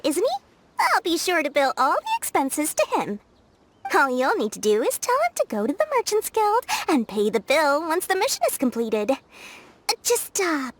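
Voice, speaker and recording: An actress voicing a scheming, greedy young merchant in a video game dub, sly and salesy. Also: female voice, high voice, a little hiss or hum.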